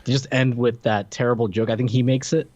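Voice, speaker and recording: A man's voice: medium pitch, steady tone, fast talker, darkish tone, dry recording. A man co-hosting a podcast, giving casual, slangy movie commentary in a conversational way. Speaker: podcast co-host. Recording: dry, slightly dull lo-fi mic.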